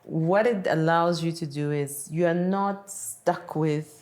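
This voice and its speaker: A woman from Kenya hosting a reflective interview, phrasing her thoughts in a deliberate, searching way and often checking in with "right?". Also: deep voice, a little mellow. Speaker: podcast host.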